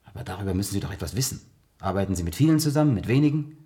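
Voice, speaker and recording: A persistent, calmly commanding investigator in a science-fiction radio drama, played by a man. He speaks briskly in a fairly low voice, pressing each question with controlled authority. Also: close to an everyday voice. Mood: insistent. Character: probing male biographer John, persistent, calmly authoritative interrogator. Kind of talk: German science-fiction radio play acting.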